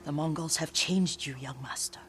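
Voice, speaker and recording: An actress as a frail old woman, a devoted family servant from a video game drama. Her aged voice is breathy and gentle, with a halting, deferential way of speaking. Music plays clearly behind her. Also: low-pitched, close to an everyday voice. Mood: gently reproachful. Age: older. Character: frail, devoted elderly female servant and herbalist. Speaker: game character voice actor.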